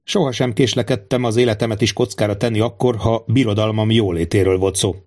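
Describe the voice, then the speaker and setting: A man's voice: fairly low pitch, steady tone, a little mellow, lightly acted. A wily king, acted out by a male narrator for a storybook. He muses rhetorically and theatrically, distrusting everyone while sounding fatherly and scheming. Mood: fatherly, persuasive.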